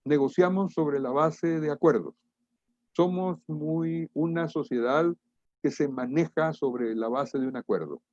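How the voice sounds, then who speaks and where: A man's voice: fairly high pitch, a little mellow, dry recording. Dull-sounding Guatemalan man giving a lecture. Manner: deliberate, emphatic, rhetorical questions. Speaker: international trade lecturer and expert. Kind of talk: webinar lecture, explanatory.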